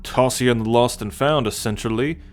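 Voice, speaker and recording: A man playing a smug, teasing, haughty alien soldier and scout in an ASMR roleplay, talking straight to the listener with deliberate condescension. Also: medium pitch, soft background music, natural voice. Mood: cold, threatening. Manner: slow, deliberate, smugly condescending.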